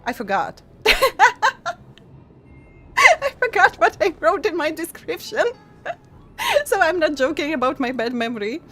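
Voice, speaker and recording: High-voiced, giggly woman streamer. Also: very animated, fairly bright tone, faint music behind. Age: young adult. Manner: giggly, halting with many pauses and restarts. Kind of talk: casual live-stream chat with viewers.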